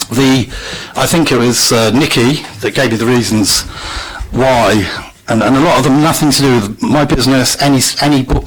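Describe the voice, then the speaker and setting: A man who owns a small business, making a croaky-voiced, earnest statement at a council meeting. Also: medium pitch, fairly bright tone, a little hiss or hum, rough recording. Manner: earnest, measured, frequent ums. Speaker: local business owner addressing council meeting.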